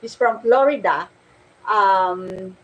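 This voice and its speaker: A woman with a Filipino accent chatting casually to her viewers as a vlogger, in a halting, giggly manner. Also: medium pitch, fairly bright tone, dull audio, slight background noise.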